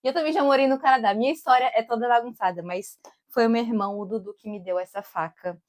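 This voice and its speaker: A woman's voice: a little high, quick pace. Young Brazilian woman with a Brasília accent, a cook vlogging outdoors, loose and chatty delivery full of filler. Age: young adult. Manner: rambling and digressive, keeps saying "tipo" and "amigos". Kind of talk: casual chatty vlog. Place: outdoors in summer, with bees around.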